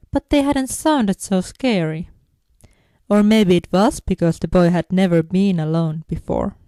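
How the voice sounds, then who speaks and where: A woman's voice: medium pitch, warm tone, no echo. A woman reading a story aloud as a scripted narration. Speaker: fan fiction audiobook narrator.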